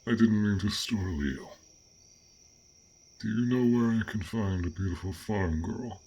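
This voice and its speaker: A man playing a gentle, curious dragon in a roleplay audio drama, with formal, old-fashioned, pensive speech. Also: low voice, slightly rough, heavily acted, low-quality recording. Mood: gentle, polite.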